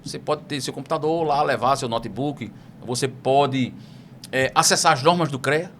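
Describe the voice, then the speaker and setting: A man's voice: medium pitch, normal speed, fairly bright tone. A man co-hosting an engineering podcast, chatting loosely and padding his talk with "né?" asides. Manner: rambling, full of "né?" asides. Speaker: podcast co-host. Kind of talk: casual podcast chat. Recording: faint background noise.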